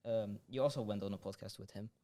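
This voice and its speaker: A young man hosting a student podcast, speaking hesitantly with many pauses and giggles. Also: fairly low pitch, fairly even intonation, dark tone. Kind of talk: casual interview chat.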